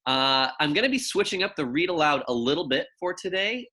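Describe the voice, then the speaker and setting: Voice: medium pitch, very animated, brisk, pushed and bright. A teacher giving a read-aloud lesson for young children in a friendly, informal, encouraging way. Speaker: elementary school teacher.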